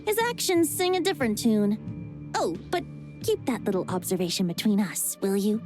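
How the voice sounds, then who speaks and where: A woman voicing a cheerful, playful young male bard who speaks in a light, lilting way and sometimes chants verses slowly. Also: mid-range voice, lively intonation, natural voice. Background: game music.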